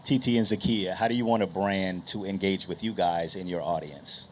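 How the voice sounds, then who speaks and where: A man's voice: low voice, steady tone, moderate pace, a little hiss or hum. A man moderating a panel discussion, putting questions to the guests and speaking as an industry executive.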